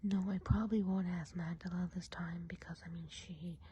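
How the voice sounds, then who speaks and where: A woman's voice: on the low side, fairly even intonation, brisk. A woman playing a whispering, gossipy woodland witch. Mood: gossipy, confiding. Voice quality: soft breathy whisper. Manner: confiding, gossipy, asks the listener questions. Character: chatty gossipy woodland witch planning enchanted festival. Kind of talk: ASMR roleplay, whispered.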